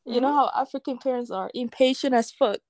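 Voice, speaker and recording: High-voiced animated woman, dry sound. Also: fairly quick, dry recording. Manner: animated, blunt storytelling. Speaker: podcast co-host. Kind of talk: casual chat.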